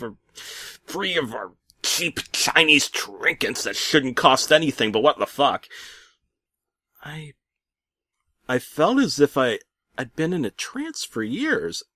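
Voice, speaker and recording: Guy reading a goofy horror story, super dramatic and wordy, pitch all over, dry close sound. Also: fairly bright tone, no echo. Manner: theatrical, wordy, exaggerated. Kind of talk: dramatic comedic first-person creepypasta narration.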